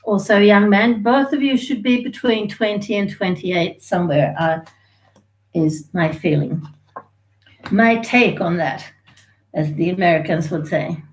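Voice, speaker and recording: A woman's voice: mid-range voice, a little slow, rough recording. A woman, from Sweden and Australia, giving a chatty, rambling live tarot reading and laughing easily. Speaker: tarot reader.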